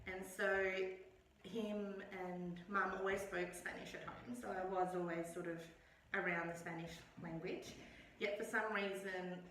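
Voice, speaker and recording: A middle-aged woman speaks in a relaxed, unhurried way and often stops on little ums. Her voice sits slightly low but sounds bright. She is recorded from a distance in an echoey room with faint background noise. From Australia. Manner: relaxed, hesitant with frequent ums. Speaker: guest. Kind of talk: casual conversational interview.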